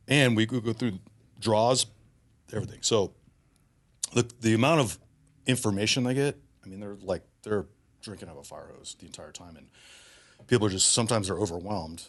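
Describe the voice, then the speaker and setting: Guy in his 40s explaining his shooting class, lowish voice, rambly. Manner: rambling, loose, lots of fillers and restarts. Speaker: guest, shooting instructor. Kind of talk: casual podcast chat.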